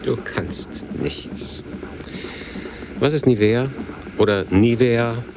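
A man's voice: medium pitch, very slow, a little mellow, slight background noise. An actor in a radio drama playing a gloomy, sardonic older man, terse and dry, often questioning. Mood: sharp, testing.

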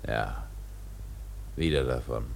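A man playing a brooding, obsessed old whaling captain in a radio drama, with gravelly, weighty speech. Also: rumbling low, slow, exaggerated voice. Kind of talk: German radio play acting.

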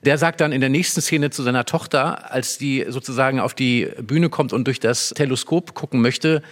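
Emphatic man explaining drama, mid-pitched. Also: moderate pace. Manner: explanatory, emphatic, acts out short quotes. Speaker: literary discussion speaker. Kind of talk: animated analytical discussion of a play.

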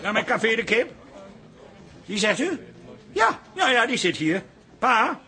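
A man's voice: extremely high pitch, big pitch swings, a little slow, pushed and bright, slight background noise, full character voice. A man playing a blunt, mocking café-owner husband with a sarcastic, exasperated manner in a radio comedy. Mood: insistent.

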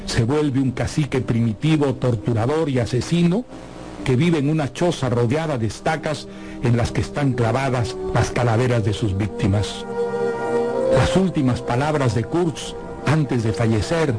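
A man's voice: mid-range voice, normal speed. Formal male literary presenter over music. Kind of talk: scripted literary introduction, narration.

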